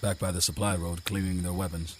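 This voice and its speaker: A man plays a loyal, cautious soldier in a radio drama. His voice is fairly low, and he speaks respectfully, with some hesitation. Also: little pitch movement, fairly quick, slight background noise, close to an everyday voice. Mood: calm. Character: loyal, cautious army sergeant. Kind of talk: classic radio drama acting.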